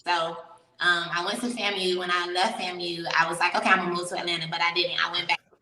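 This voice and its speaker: A woman's voice: lowish voice, flat delivery, fast, sharp tone. A young woman from Miami, a professional dancer, telling her story as an interview guest in a frank, chatty way. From Miami, Florida. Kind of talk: casual interview chat. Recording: echoey room, mic at a distance.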